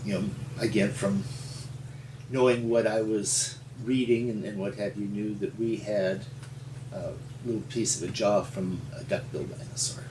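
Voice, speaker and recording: An older man from rural Montana with an American accent, telling his story in an interview in a halting, folksy, explanatory way. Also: lowish voice, steady tone. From rural Montana, United States. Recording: roomy, distant mic with faint hum.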